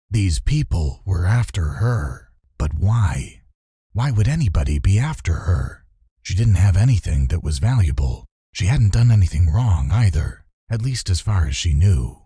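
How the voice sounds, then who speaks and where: A man's voice: low voice, sing-song, unhurried, dark tone, breathy edge, dry recording, clean studio sound. A man narrating a children's fantasy audiobook in a suspenseful, theatrical style.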